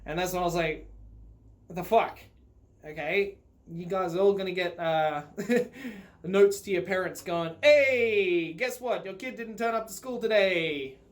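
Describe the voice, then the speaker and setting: Casting: Australian male vlogger, high voice, loose conversational storyteller with a sweary, rambling delivery, phone-recorded. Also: measured pace. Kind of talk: casual storytelling vlog.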